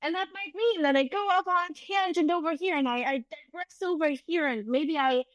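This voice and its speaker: A woman's voice: extremely high pitch, normal speed, no room sound, highs cut off. A middle-aged woman whose first language is German, hosting an unscripted solo podcast in an earnest, reflective way, often restarting her sentences. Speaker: podcast host and business coach.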